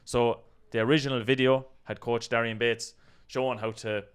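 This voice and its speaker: An Irish man co-hosts a casual online discussion. His voice is smooth and clear, sitting in a middle register. He talks conversationally, qualifying his points with small asides. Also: medium pitch, level tone, normal speed. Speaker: co-host of a video channel.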